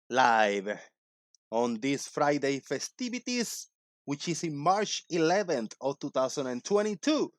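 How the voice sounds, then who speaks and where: A man in his forties hosting a casual livestream, talking in a loud, joking, rambling way. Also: a little high, dry recording. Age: 40s. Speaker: livestream host.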